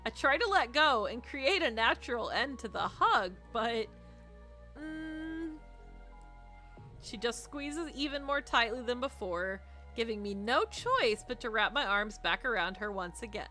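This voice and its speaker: A woman's voice: fairly high pitch, animated, measured pace, sharp tone. A woman streamer reading a visual novel aloud expressively, with playful, teasing asides. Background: music.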